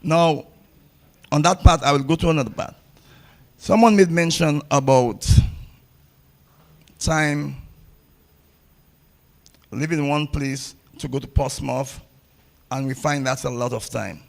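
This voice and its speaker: A man's voice: a little high, slow pace, gravelly, pauses often. A man giving a public ceremony speech as a table tennis coach, blunt and repeating phrases for emphasis.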